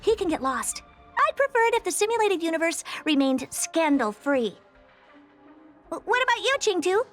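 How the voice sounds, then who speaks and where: A very high, animated young female scientist, haughty and impatient, speaking bluntly with dismissive confidence. It is video game dialogue with music underneath. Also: moderate pace. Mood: dismissive, brisk. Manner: blunt, dismissive, self-assured.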